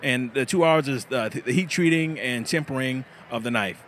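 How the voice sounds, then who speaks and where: Guy explaining how he makes knives, plain steady voice, faint traffic behind. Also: mid-range voice, normal speed. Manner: plain, step-by-step, some laughs and fillers. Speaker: knifemaker. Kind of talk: interview answer.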